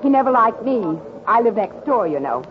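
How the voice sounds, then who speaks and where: A woman's voice: fairly high pitch, very animated, moderate pace. An actress playing a spiteful, indignant neighbour woman in 1940s radio drama style. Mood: resentful, indignant. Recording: dull 1944 recording with crowd behind.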